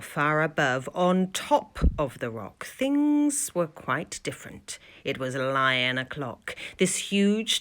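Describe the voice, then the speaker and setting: Female storybook reader, unhurried, very varied intonation, dramatic emphasis for young listeners. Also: on the low side, fairly bright tone. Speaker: primary school teacher reading a story. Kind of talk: expressive children's storybook reading.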